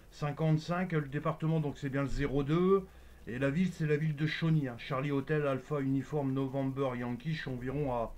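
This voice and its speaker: Fast-talking man on amateur radio. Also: medium pitch, a little mellow, slight background noise. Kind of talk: casual radio contact chat with procedural jargon.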